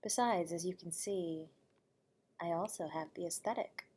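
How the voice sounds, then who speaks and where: A woman playing an eerie, nocturnal horror radio host from beyond the grave, speaking to the listener in a soft, breathy, whispery voice, courteous and teasing, with frequent chuckles. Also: on the low side, normal speed, slight background noise, lightly acted. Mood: silky, teasing. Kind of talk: audio roleplay addressing the listener.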